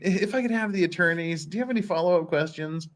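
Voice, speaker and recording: A man hosting a casual comic read-through, a little halting, slipping between reading aloud and jokey asides. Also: sits high. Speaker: host.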